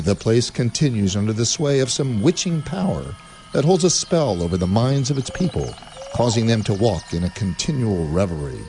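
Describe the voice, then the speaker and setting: A man narrating a spooky old tale in a measured, expressive storytelling manner for a radio drama. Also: mid-range voice.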